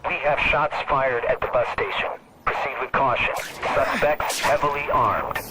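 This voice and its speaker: A man's voice: medium pitch, moderate pace, heavy background noise. An actor playing a businesslike male police dispatcher, giving clipped, procedural calls over a radio. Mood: urgent.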